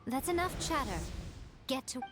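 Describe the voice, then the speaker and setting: An actress playing a sly, poised shrine priestess in a video game, silky, coy and a little condescending. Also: female voice, high voice, animated, very noisy. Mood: firm. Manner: coy, condescending, elegantly unhurried. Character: sly, poised shrine priestess, adult woman, teasing and unruffled. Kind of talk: scripted video game character acting.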